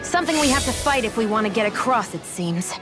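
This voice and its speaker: A woman's voice: fairly high pitch, lively intonation, fast, over loud music, lightly acted. A woman voicing a serious, matter-of-fact young warrior woman in a dramatic video game dub.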